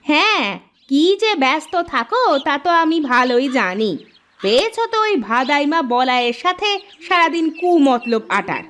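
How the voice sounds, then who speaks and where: A woman's voice: sits high, very animated, moderate pace, fairly bright tone, slight background noise. A woman voicing a nagging, sarcastic village wife in a comedy cartoon, always complaining. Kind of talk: Bengali comedy cartoon dubbing.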